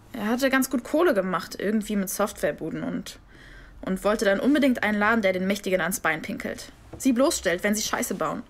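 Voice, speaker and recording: An actress playing a young woman who patiently explains a story in casual words. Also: mid-range voice.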